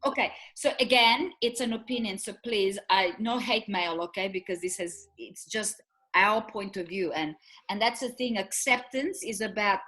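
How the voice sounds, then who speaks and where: Middle-aged romanian lady co-hosting a chat, mid pitch, laughs a lot telling stories. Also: moderate pace, a bit bright, poor audio. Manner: chatty storyteller, laughs often. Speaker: co-host. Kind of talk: casual chat.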